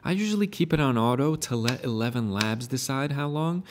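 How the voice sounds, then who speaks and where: Young male tutorial host, friendly explainer, average pitch. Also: lively intonation, brisk, noisy background. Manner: friendly, explanatory, recommends affiliate link. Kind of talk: screen-recorded software tutorial.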